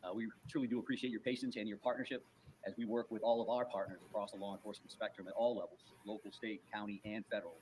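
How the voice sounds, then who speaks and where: A man speaking as a public safety official, giving a formal press briefing in measured officialese. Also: lowish voice, fairly even intonation, a little mellow, slight background noise, low-quality recording.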